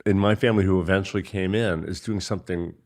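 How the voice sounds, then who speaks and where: A man from Egypt, a podcast guest, explaining things in measured, storytelling fashion. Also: sits low, steady tone, a little mellow. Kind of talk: casual interview.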